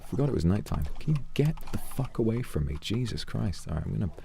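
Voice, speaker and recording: A man streaming a game, chatting calmly and earnestly with viewers and thanking them for donations. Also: fairly low pitch, quick pace, gravelly. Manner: calm, reflective, earnest. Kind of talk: casual livestream chat while gaming.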